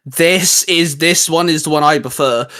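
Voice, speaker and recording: A man with a slightly high-pitched voice hosts a casual geeky discussion. He rambles with enthusiasm, often pausing and restarting as he works out his opinion. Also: sharp tone. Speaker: host. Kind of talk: casual geeky chat rating video game forms.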